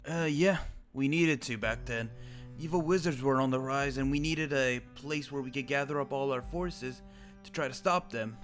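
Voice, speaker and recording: A man's voice: medium pitch, moderate pace, a bit bright, soft background music. A young man roleplaying an old immortal wizard, rambling and restarting his sentences. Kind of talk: in-character roleplay chat.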